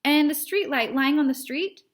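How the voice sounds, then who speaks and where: A woman's voice: sits high, normal speed, a little hiss or hum, voice pushed up, natural voice. A woman voicing a patient, sensible but exasperated male storybook cat who explains things carefully and hesitantly. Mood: incredulous. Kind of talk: children's storybook character read aloud.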